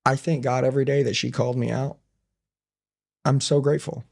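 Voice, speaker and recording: A man talks bluntly and emphatically in an interview, in short, firm statements. His voice is mid-pitched and gravelly, with a mellow tone. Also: level tone, fairly quick. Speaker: podcast guest and business owner. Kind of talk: blunt motivational talk in conversation.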